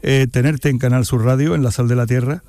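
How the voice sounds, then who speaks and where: Male radio host, mid pitch, mellow tone, conversational, close dry mic. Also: fairly even intonation, brisk, dry recording. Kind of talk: radio interview.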